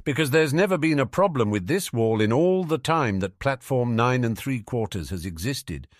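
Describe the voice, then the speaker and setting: A man voicing an urgent, forceful schoolboy wizard who is insistent and commanding, in a storybook reading. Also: medium pitch, lively intonation, moderate pace, dry recording, clean studio sound, voice pushed up, lightly acted.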